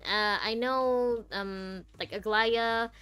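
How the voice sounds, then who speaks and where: A woman's voice: a little high, fairly even intonation, takes it slowly, fairly bright tone, clear, resonant tone, soft background music. A woman streaming a game, chatting casually and thinking aloud in a rambling way. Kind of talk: casual chatty playthrough commentary.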